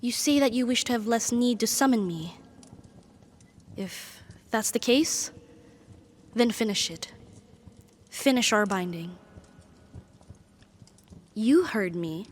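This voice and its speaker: A woman voicing a proud, possessive, lovesick female demon in an ASMR roleplay, speaking in formal, deliberate, dramatic phrases. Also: fairly high pitch. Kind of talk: ASMR romantic audio roleplay.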